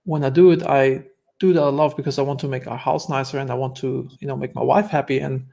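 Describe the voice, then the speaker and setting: Gravelly man with a German accent. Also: mid-range voice, steady tone, fast, dark tone. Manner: earnest, reflective storytelling. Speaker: guest entrepreneur. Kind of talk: casual interview conversation.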